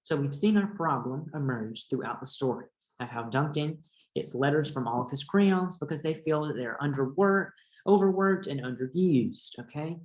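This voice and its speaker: A man's voice: on the high side, animated, moderate pace. Man teaching a read-aloud in an echoey room. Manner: instructive, addressing young pupils. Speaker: teacher reading a picture book aloud. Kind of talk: read-aloud lesson with explanations and questions.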